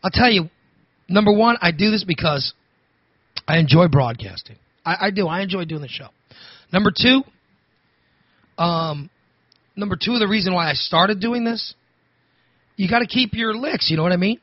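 A man hosting a talk radio show, hoarse from overusing his voice, rambling and restarting his sentences. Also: high-pitched, lively intonation, moderate pace, pauses often, no room sound, lo-fi sound. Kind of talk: casual talk radio monologue.

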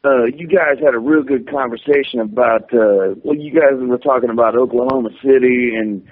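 A man's voice: medium pitch, normal speed, no echo. Male radio caller over a phone line. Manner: friendly, loose, lots of "uh" fillers. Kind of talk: casual phone call-in.

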